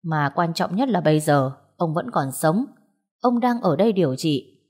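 Woman voicing a calm, protective young husband. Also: lowish voice, normal speed, husky. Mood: quietly stern.

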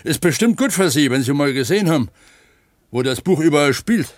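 Guy acting eager pump worker in a radio drama, explains everything like a tour guide, animated, dry studio sound. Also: a little high, a little fast, dry recording, natural voice. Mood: eager, considerate. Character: robust, eager pump attendant at an opencast mine, amateur novelist.

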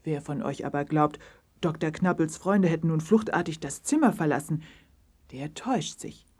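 Female narrator for children's story. Strongly husky and breathy, slightly low, dramatic and suspenseful. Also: animated, normal speed, a little mellow.

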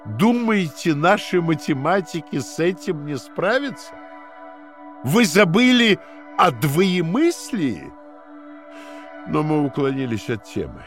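Cold fanatical party interrogator, highish voice that swoops around, lecturing, music underneath. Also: male voice, sing-song, slow. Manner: lecturing, theatrical, swinging from hushed to emphatic. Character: fanatical, domineering male party interrogator, coldly cruel. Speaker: actor in an audio play. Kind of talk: dramatic audio play dialogue.

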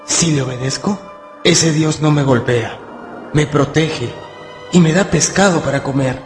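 A man's voice: a little high, a little slow, soft background music, lightly acted. An actor voicing an earnest, easily hurt young male wolf cub in a radio drama.

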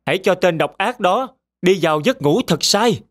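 A man's voice: sits high, expressive, moderate pace, fairly bright tone. A man playing a young, commanding hero in a children's fairy tale cartoon. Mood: resolute.

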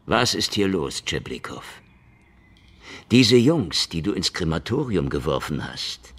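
An actor playing a cynical, world-weary Soviet secret-police detective in a hardboiled radio drama, sardonic and slangy. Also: male voice, deep voice, very animated, a little slow, fairly bright tone, breathy edge, exaggerated voice. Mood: sardonic, challenging. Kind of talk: noir radio drama acting and narration.

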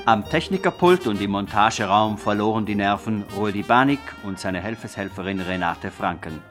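A man's voice: on the low side, lively intonation, normal speed. A man reading credits as a scripted, tongue-in-cheek 1960s radio announcer in a mock-solemn manner. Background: music.

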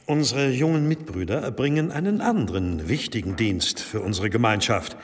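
Solemn abbot in long reverb. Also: male voice, mid-range voice, expressive, breathy edge. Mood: solemn, gracious. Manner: formal, measured, preacherly. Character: solemn, authoritative monastery abbot. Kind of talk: German radio drama acting.

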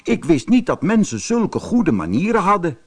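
A man's voice: high-pitched, huge pitch swings, moderate pace, breathy edge, voice pushed up, full character voice. Een man die een sluwe, hongerige tijger speelt in een sprookje, vleierig, zelfingenomen en snel ongeduldig. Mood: falsely polite. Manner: wheedling, self-satisfied, impatient when crossed. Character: sly, vain, hungry male tiger, falsely polite. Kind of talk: storybook character voice.

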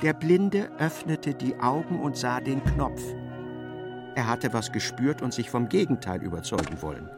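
An actor narrating a literary radio play, an expressive and ironic storyteller. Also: male voice, mid-range voice, moderate pace, over music, close to an everyday voice. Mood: wry narration. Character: wry literary narrator of a novel, male.

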